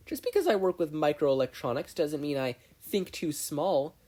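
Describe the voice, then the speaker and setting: A performer voicing a snarky, raised-pitch teenage girl. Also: very animated, normal speed, mellow. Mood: snide. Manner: needling, unimpressed. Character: snarky, dismissive teenage girl inventor, dry rival.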